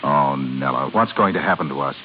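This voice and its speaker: An actor playing a suave, teasing and ardent suitor in a smooth 1930s radio drama manner. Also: male voice, extremely low pitch, lively intonation, fairly quick. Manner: smooth, playful, romantic. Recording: muffled, hissy 1930s radio broadcast.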